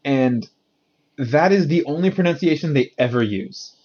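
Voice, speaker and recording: A man who teaches English in Japan, chatting casually on a podcast and explaining with animated frustration. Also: a little high, normal speed, lo-fi sound.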